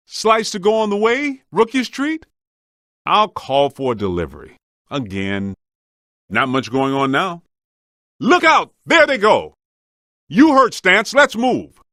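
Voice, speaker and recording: A man's voice: high-pitched, sing-song, slow pace, long pauses, bright, forceful tone, no echo, clean studio sound, heavily acted. A man playing a streetwise, wisecracking ghost hunter in a video game, speaking in a colloquial, wry, plain-spoken way. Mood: wry, casual, then urgent, alarmed. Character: streetwise, wisecracking male ghost-hunting team member.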